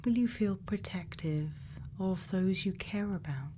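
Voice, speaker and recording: A woman doing an ASMR roleplay as a gentle scientist, speaking in a breathy whisper and soft murmur, slow and deliberate with long pauses. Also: medium pitch, slight background noise. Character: gentle scientist questioning a robot friend. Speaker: ASMR performer.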